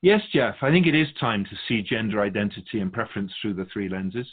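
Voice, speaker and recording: A man voicing a wise, patient spirit keeper of souls who teaches in a measured, philosophical way. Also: fairly low pitch, husky, phone-quality sound. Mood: gentle, encouraging.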